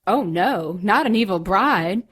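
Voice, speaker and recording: A breezy working mother in an audio drama. She speaks at a middle pitch with dry, teasing humor toward her kids. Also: lively intonation, measured pace, husky.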